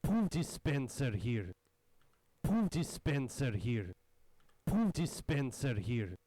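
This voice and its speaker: A man's voice: mid-range voice, sing-song, slow pace, warm tone, a little hiss or hum. A man doing a goofy engineer voice line. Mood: deadpan.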